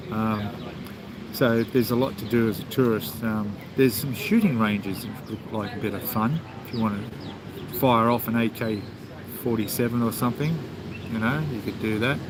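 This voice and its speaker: A man with an Australian accent, hosting a casual travel live stream and answering viewer comments in a rambling, chatty way, with plenty of ums and restarts. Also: fairly low pitch. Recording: clear outdoor background noise.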